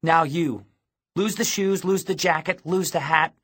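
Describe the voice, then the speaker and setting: A ruthless young woman on the run, as a male audiobook narrator puts her on. The pitch sits well up and the delivery is clipped and commanding, with a cunning, sardonic edge. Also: measured pace, a bit bright, breathy edge. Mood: bossy.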